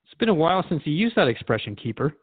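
A man's voice: on the high side, big pitch swings, fast talker, slightly rough, no echo, phone-quality sound. A man playing a thoughtful, friendly radio host who asks probing questions in a conversational radio drama interview. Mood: attentive.

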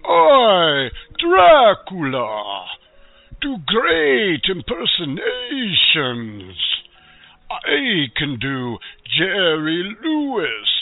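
A boastful old vampire for a comic radio sketch, theatrical and swooping, with a creaky edge. He savors his own showy delivery over music, in a dull lo-fi recording. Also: sits high, wildly swooping pitch, drawn-out words, dry recording, exaggerated voice. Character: boastful old male Dracula, hammy vampire doing impressions.

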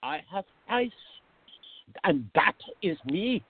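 A man playing an exasperated, excitable, easily offended friend in a radio drama, complaining with indignant, drawn-out emphasis. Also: sits high, slightly rough, full character voice. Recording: thin, phone-like band-limited audio.

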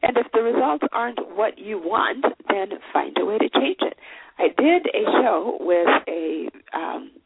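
A woman's voice: lowish voice, gravelly. A woman from Zimbabwe hosting a show, giving dating advice in an earnest, conversational way with many fillers. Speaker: show host. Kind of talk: solo advice talk. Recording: phone-like line with faint noise.

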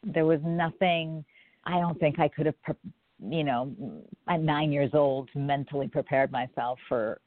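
A guest from Jamaica who works in film, answering interview questions in a thoughtful, measured way. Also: medium pitch, steady tone. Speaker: filmmaker guest. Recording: dry, phone-like line.

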